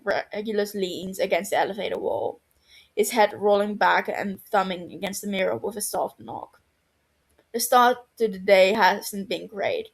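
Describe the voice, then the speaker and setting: Woman reading a story aloud. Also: mid-range voice. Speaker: podfic reader. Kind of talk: fan fiction read aloud.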